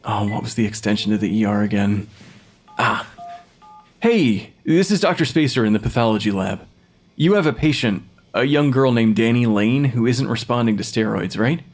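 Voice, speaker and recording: A man's voice: medium pitch, expressive, normal speed. A man playing a clever, slightly awkward forensic pathologist with an analytical manner, acted naturally for an audio drama. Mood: brisk, businesslike. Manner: analytical, hesitant when cornered. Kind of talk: naturalistic audio fiction podcast acting.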